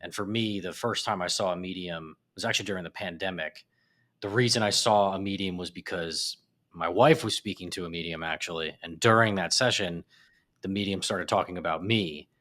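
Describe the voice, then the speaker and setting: A man chatting as a podcast guest, an animated storyteller whose voice jumps up when he gets excited. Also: low-pitched, brisk. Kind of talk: casual chat. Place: apartment in a big city.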